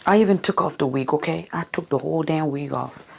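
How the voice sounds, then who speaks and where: A tired woman vlogger talks casually to the camera in front of her mirror at home. Her voice sits low and is a little worn. She keeps sliding into soft, half-whispered asides. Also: brisk, creaky, slightly rough. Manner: chatty, drops into soft near-whispers.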